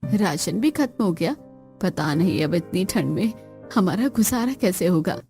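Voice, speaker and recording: A woman's voice: lowish voice, lively intonation, a little slow, mellow, faint music behind. A woman voicing an older cartoon mother-in-law who is stern, worrying and caring. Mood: worried. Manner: scolding, worrying.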